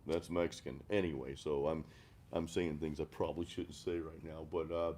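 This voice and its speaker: A middle-aged man from the American Deep South hosting a casual music chat, rambling and self-deprecating with frequent restarts. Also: flat delivery, moderate pace, dark tone. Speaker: host of a music chat stream. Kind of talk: casual chat over beers, reading live comments.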